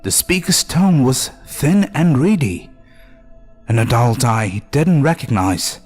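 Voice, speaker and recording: A man's voice: mid-range voice, sing-song, slow pace, a little mellow. A man reading a scripted first-person horror story as narrator, with music behind him.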